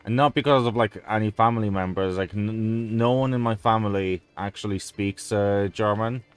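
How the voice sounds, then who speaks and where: A man's voice: fairly low pitch, moderate pace, clear, resonant tone, faint music behind. A man whose first language is Polish, giving rambling, hesitant gameplay commentary with frequent fillers and casual swearing. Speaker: gameplay video creator.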